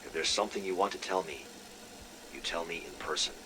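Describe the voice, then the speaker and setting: A man's voice: sits low, brisk, background noise, lightly acted. A man playing a cold, authoritative superior officer, curt and controlled, in dramatic video game dialogue. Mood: cold warning.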